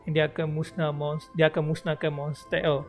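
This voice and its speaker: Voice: medium pitch, completely flat delivery, a little fast, darkish tone, soft background music. A Malaysian livestreamer, a native Malay speaker, giving casual, chatty game commentary and mixing in English.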